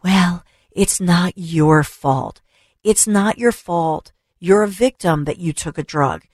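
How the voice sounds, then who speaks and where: A woman's voice: fairly low pitch, slow, a little mellow, dry recording, studio quality. Emphatic woman radio host ranting. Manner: emphatic, rhetorical questions, repeats for stress. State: losing her voice. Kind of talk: opinionated radio rant. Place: radio studio. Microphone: studio microphone.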